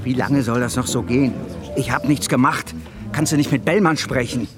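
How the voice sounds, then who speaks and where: A man's voice: medium pitch, moderate pace, close to an everyday voice. Guy doing desperate man on the run, pleading, radio drama, music behind. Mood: anxious, pleading. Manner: anxious, pleading. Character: desperate, pleading male fugitive in hiding.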